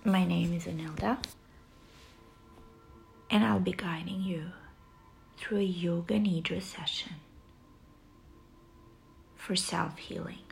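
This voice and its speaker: A woman guiding a yoga nidra relaxation session in a soft, soothing, breathy way, with long pauses between short phrases. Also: lowish voice, fairly even intonation, a little hiss or hum. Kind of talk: guided relaxation meditation.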